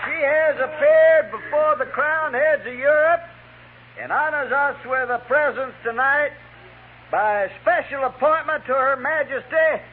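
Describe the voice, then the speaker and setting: Saloon master of ceremonies, very high-pitched bellow, pompous, folksy, noisy old radio recording. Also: male voice, a little slow, phone-quality sound. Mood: grandiose. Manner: grandiose shouted announcing, folksy threats. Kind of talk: 1950s radio Western acting.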